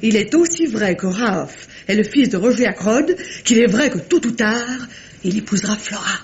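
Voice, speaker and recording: An actress playing a nosy, self-assured sister in a radio mystery, speaking in an emphatic, insinuating and sententious way. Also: female voice, medium pitch, expressive, normal speed, lo-fi sound, natural voice. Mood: knowing, insistent. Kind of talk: French radio drama acting.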